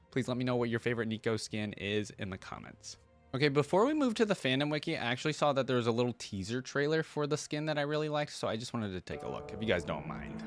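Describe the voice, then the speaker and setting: A man's voice: mid-range voice, no room sound. A man giving casual reaction commentary as a video host, chatty and enthusiastic as he thinks aloud.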